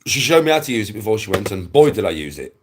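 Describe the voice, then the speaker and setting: A man's voice: lowish voice, sing-song, fast talker, slight background noise. A man in his forties with an English accent, ranting casually on a livestream, bluntly and with plenty of swearing, hammering points home by repeating them. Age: 40s. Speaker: live streamer.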